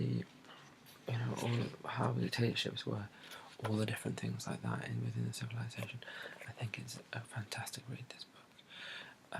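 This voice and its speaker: A soft-spoken British man in a breathy ASMR bookshop roleplay, describing books in a hesitant, rambling way and repeating his phrases. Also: mid-range voice, poor audio. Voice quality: breathy, soft, often dropping to a whisper. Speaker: ASMR creator playing a bookshop assistant.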